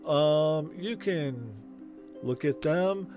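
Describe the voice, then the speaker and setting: A man in his forties, the game master, chatting casually and rambling with many pauses. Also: on the high side, a little mellow, faint music behind. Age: 40s. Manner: rambling, hesitant. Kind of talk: casual stream chat.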